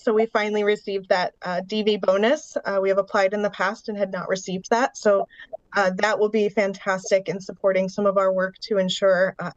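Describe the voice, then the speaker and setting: A woman's voice: mid-range voice, no room sound. Congested woman presenting over a dull call. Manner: steady, explanatory walk-through of figures and programs. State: congested, under the weather. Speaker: staff presenter at a county board meeting. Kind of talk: presenting a funding update in a public meeting.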